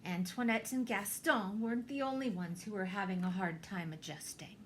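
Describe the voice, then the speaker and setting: A woman reading a children's picture book aloud, expressively, with theatrical pauses and deliberate emphasis. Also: mid-range voice, slight background noise. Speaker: storybook reader, teacher.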